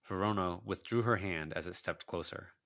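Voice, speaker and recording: A man's voice: deep voice, normal speed, a bit bright. A man narrating a fiction audiobook from a written text. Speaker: audiobook narrator, volunteer reader.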